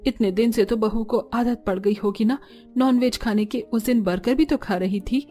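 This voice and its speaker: A woman's voice: fairly high pitch, moderate pace, warm tone, faint music behind, natural voice. A woman voicing a demanding, fault-finding mother-in-law in a cartoon family drama. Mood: scolding.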